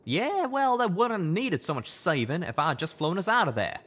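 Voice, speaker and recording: A hot-tempered young pegasus bellows and rants in a country drawl. His voice sits high above the reader's own and swings widely as he shouts. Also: sing-song, unhurried, full character voice. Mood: angry shouting. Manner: yelling, ranting.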